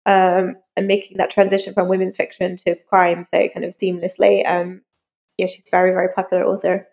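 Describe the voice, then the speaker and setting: A woman's voice: on the low side, monotone, a little fast, gravelly, darkish tone. A woman giving informal interview answers as a guest author, thinking aloud as she talks. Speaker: crime novelist, podcast guest. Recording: reverberant hall-like echo.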